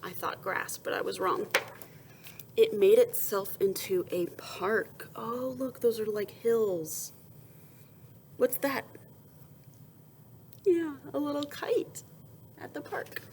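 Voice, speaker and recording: Slow-paced woman reading aloud to children. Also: a little high, background noise. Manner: expressive storytelling, pausing to ask questions and answer softly. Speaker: teacher reading a picture book aloud to young children. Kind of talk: children's read-aloud with asides and questions.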